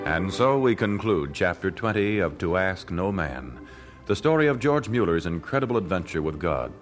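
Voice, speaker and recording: Casting: formal radio announcer, man, fairly deep and expressive, speaking over prominent music. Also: moderate pace.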